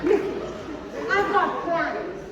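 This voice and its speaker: A woman's voice: extremely high pitch, takes it slowly. A woman playing a haughty, affected traveller in a comic stage pantomime, indignant and overblown. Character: haughty traveller feigning not to know an old acquaintance. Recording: dull echoey hall from far off. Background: audience crowd.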